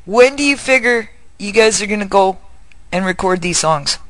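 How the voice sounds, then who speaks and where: A man's voice: sits high, expressive, normal speed, crowd noise. A man with a Northern US accent, interviewing in a casual chat and laughing often.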